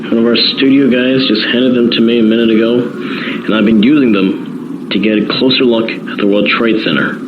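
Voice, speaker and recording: A man's voice: mid-range voice, fairly even intonation, moderate pace, muffled recording, lightly acted. A man playing a shaken news reporter giving earnest, descriptive live commentary on a disaster in an audio drama. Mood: dismayed. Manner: descriptive, earnest, increasingly dismayed. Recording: noisy location with loud background ambience.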